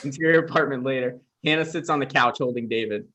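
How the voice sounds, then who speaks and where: A man serves as narrator at a comedy table read. He reads scene headings and stage directions in a medium-pitched voice, as plain scripted narration. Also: quick pace, pushed and bright.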